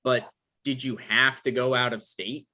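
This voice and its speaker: A man acting an evasive, awkward novelist in a comedy-drama table read, halting and defensive, with stammers. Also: medium pitch, flat delivery, sharp tone. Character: Michael, evasive, awkward novelist visiting his ex.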